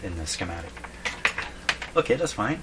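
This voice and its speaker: Low-voiced man musing over workbench noise. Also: big pitch swings, moderate pace. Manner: musing, asks himself questions. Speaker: radio repair hobbyist and video host. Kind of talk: casual hands-on repair commentary, thinking aloud. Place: indoor repair workshop. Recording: clearly noisy workshop background.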